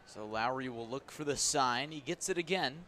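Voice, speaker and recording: A man's voice: normal speed, fairly bright tone. A man doing live radio play-by-play of a baseball game, describing the action and stressing the key moments. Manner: descriptive, lifts and stresses as each pitch comes. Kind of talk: live radio sports commentary. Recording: clear outdoor ambient noise behind voice. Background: outdoor ballpark ambience.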